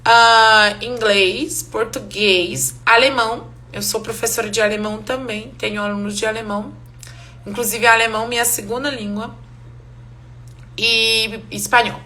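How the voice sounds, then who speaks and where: A woman's voice: fairly high pitch, steady tone, measured pace, bright, forceful tone. A woman from Romania, a native Hungarian speaker with a Hungarian accent, teaching a live online language class, switching between Portuguese and English and laughing often.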